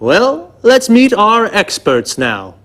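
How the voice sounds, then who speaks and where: A man's voice: high voice, huge pitch swings, slow pace, fairly bright tone, full character voice. A man playing a genial TV presenter in a scripted educational programme. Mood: genial, encouraging. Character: genial male TV presenter welcoming guests.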